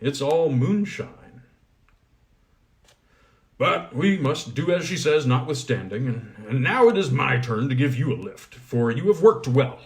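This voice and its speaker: A gruff, testy goblin smith from a storybook fairy tale, as a male narrator puts him on. He talks in blunt, commanding bursts, a little above the reader's usual pitch. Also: animated, a little slow. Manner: brusque, commanding.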